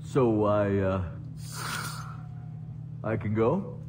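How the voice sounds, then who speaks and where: An actor playing a shifty, nervous little alien fixer in a video game, halting and evasive. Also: male voice, fairly low pitch, muffled recording. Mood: cowed. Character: nervous, shifty small alien underworld fixer in breathing suit. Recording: noisy ambience behind.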